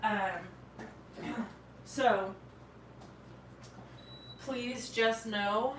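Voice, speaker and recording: A woman with a stuffed-up head cold hosting a live card-making tutorial in a chatty, upbeat way, sniffling and clearing her throat now and then. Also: a little high, extremely slow, slight background noise. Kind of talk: casual live tutorial chat.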